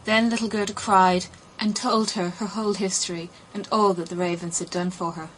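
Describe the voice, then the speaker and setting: A woman narrates a children's fairy tale in a mid-pitched voice. Her scripted reading is expressive, and faint noise sits behind it. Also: normal speed, low-quality recording. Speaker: audiobook narrator. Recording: clear background noise.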